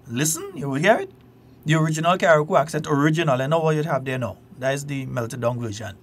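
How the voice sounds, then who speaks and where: A Grenadian man hosting a call-in radio talk show, talking with passion and emphasis. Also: a little high. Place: radio studio.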